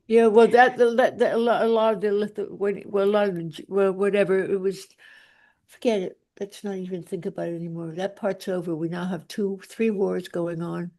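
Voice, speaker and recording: An older woman with a mid-pitched voice talks casually among a group. She is blunt and tends to ramble, circling back on her own sentences. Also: fairly even intonation, fairly quick, mellow.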